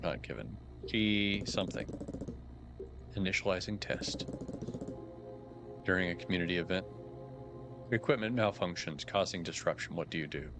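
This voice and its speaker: A man reading aloud the lines of a calm, authoritative female examiner in a game, in a slow, measured way. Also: low voice. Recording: background music under voice.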